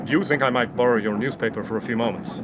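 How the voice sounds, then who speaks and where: An actor playing a polite, chatty, folksy travelling stranger in a radio drama. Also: male voice, medium pitch, fast talker, natural voice. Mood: affable. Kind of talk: BBC radio drama acting. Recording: noisy train sound effects behind.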